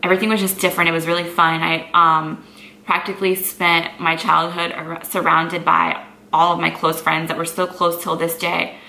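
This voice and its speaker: A woman's voice: on the low side, level tone, moderate pace, gravelly, bright, forceful tone. A woman in her twenties from Brazil, a native Portuguese speaker, chatting easily to camera in a Q&A and using lots of "like.". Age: 20s. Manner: chatty, easygoing, peppered with "like". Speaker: lifestyle vlogger. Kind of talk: casual Q&A chat to camera. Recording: echoey room, faint background noise.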